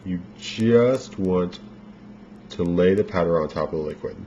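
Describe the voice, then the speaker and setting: A man giving a casual makeup tutorial, explaining each step in a relaxed, rambling way with many fillers, his voice a little worn as if he is losing it. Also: low voice, measured pace. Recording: dull lo-fi home recording with faint noise.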